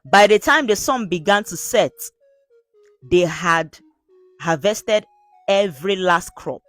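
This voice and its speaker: A woman narrates a folktale as a storyteller reading from a script. Her voice sits a little low and moves with very animated intonation. She takes her time, earnest and measured in her delivery. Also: no echo, faint music behind.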